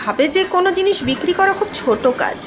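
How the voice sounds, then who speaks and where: A kindly lady passenger in an animated story. The voice is extremely high with lively intonation. She is curious and appreciative, with music clearly playing behind her. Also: fast talker. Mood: approving. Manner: friendly, appreciative. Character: kindly, curious, approving woman train passenger. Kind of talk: Bengali animated story dialogue.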